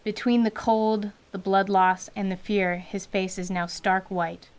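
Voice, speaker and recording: A woman giving vivid, deliberate scripted narration for a fantasy radio drama. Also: mid-range voice, steady tone. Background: music.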